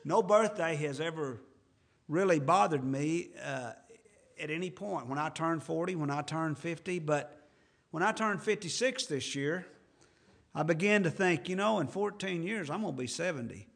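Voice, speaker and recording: A man in his fifties delivers a sermon, speaking earnestly and conversationally. His voice sits a little high for a man, and he drops into homely asides as he goes. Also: normal speed, vocal fry, fairly bright tone. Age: 50s. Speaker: pastor.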